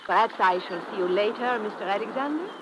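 An actress playing a poised young dancer in a 1950s radio drama, speaking formally and graciously. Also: female voice, mid-range voice, expressive, fast talker, natural voice. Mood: gracious, coy. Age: young adult. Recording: noisy old radio broadcast recording.

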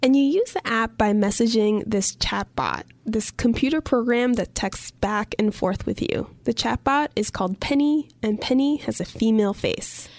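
A woman co-hosting a podcast, reading scripted narration in an even, conversational way. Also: on the high side, moderate pace, few pauses, no room sound. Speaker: podcast co-host.

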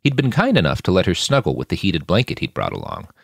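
A man narrates a fiction podcast in a deep voice. His scripted delivery swings widely in pitch for drama. The recording is dry and close. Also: wildly swooping pitch, fast talker, creaky, no room sound.

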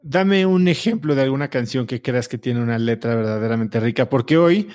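A man's voice: mid-range voice, expressive, brisk, darkish tone. A man hosting an interview, asking curious, inviting questions in a casual way.

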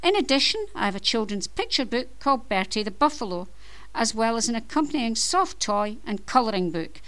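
Upbeat Scottish woman, slightly high voice. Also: very animated, brisk, a bit bright, clear, resonant tone. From Scotland. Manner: upbeat and chatty, with playful self-deprecating asides. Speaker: podcast host and author. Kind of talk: scripted podcast introduction.

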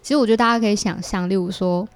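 A woman's voice: medium pitch, rapid-fire, faint music behind. A woman with a Taiwanese Mandarin accent talks as an interview guest, explaining casually and thoughtfully, often qualifying and correcting herself.

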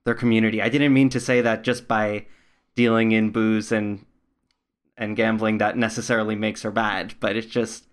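Guy doing an interview, kinda flat pitch, thoughtful and careful how he puts things. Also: moderate pace, clear, resonant tone. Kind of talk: conversational interview.

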